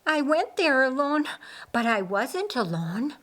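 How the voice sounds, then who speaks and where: Shaken young heroine of an audiobook tale played by a woman, raised pitch, halting, earnest, insistent. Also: sing-song, a bit bright, full character voice. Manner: halting, repeating words, insistent. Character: shaken, earnest young woman in her twenties, biblical times.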